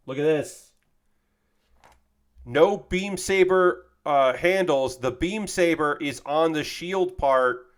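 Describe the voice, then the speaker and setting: Tired casual male streamer. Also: a little high. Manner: loose and profane, lots of fillers. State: tired, voice a bit worn. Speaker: streamer building a model kit. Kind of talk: casual livestream chat.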